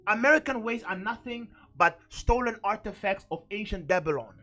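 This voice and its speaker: The man you'd hear on a fiery online Bible show, pressing each point home in a high, forceful voice. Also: animated, normal speed, faint music behind. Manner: emphatic, exhorting, repeats phrases for effect. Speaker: preacher and teacher on a religious show. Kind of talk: fervent Bible teaching.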